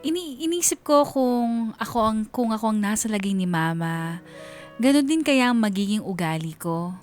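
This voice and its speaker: A woman's voice: a little high, expressive, normal speed, close to an everyday voice. Reflective married woman voiced by an actress, music behind. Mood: worried, reflective. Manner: reflective, confiding. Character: troubled married woman confiding her family conflict. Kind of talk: first-person narration in a radio drama.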